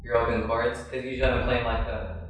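Flat-voiced man in an echoey room. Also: mid-range voice, a little fast. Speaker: worship guitarist. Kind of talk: workshop discussion. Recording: echoey room, mic far off, faint music.

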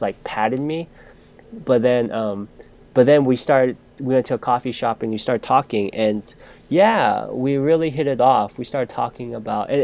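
A man's voice: mid-range voice, a little mellow. Chatty man telling a story. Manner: chatty, restarts sentences often. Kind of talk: casual storytelling chat.

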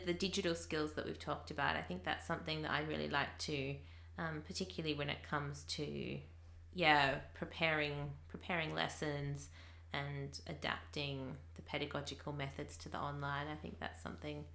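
Low bright-voiced woman in an echoey room. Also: moderate pace, vocal fry. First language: English. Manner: thoughtful, uses many fillers and restarts. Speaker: English teacher. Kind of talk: casual conversation.

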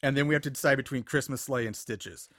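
A man's voice: medium pitch, fast, fairly bright tone, dry recording, clean studio sound. A man hosting a podcast in a casual, profane chatting style. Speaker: podcast host. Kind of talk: casual chat about bad horror movies.

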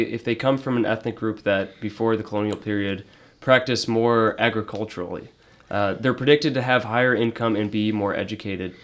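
Interviewer guy, lowish voice, recaps the paper then asks how come. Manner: summarizing, probing questions. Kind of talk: interview questions.

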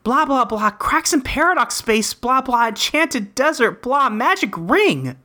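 A woman's voice: high-pitched, sing-song, unhurried, pushed and bright, exaggerated voice. A woman voicing an earnest, rambling teenage boy in a webcomic dub, breezily recounting his adventures. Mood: excited recital. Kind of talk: character dub reading of a webcomic.

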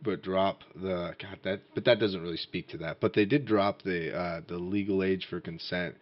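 A young man with a fairly low voice talks casually, halting and restarting often with fillers. The recording is dry and muffled, with little high end and no room sound. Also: steady tone, dry recording. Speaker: interviewer. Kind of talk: casual conversation.

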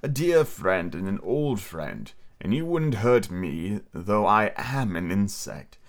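A man reading aloud and voicing a tiny, unhappy talking insect that speaks softly and plaintively. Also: lowish voice, very animated, takes it slowly, pitched below the performer's own voice, full character voice. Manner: soft, plaintive. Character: tiny, sad, gentle talking gnat.

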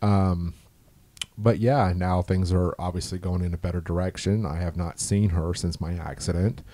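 A middle-aged man with a deep voice, talking earnestly and slowly about raising his daughter in a casual chat. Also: steady tone, mellow. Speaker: guest, father.

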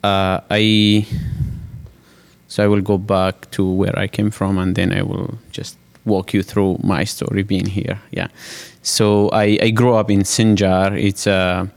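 A man in his thirties from Iraq, telling his personal story haltingly at a live panel event. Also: sits low, flat delivery, vocal fry. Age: 30s. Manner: halting, with frequent restarts and fillers. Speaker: panel speaker.

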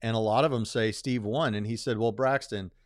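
A man hosting a podcast in casual, animated chat, often repeating words as he speeds along. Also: fairly low pitch, brisk, no room sound, clean studio sound. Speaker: podcast host.

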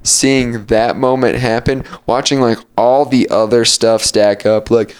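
A man's voice: medium pitch, steady tone, measured pace, smooth. A young man hosting a podcast, telling loose rambling stories with frequent laughs and casual swearing. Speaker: podcast host. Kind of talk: casual chat.

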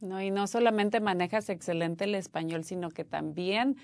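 A woman's voice: medium pitch, normal speed, a little mellow, no echo. A woman interviewing a guest, speaking with enthusiasm and with frequent hesitant restarts. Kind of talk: casual interview.

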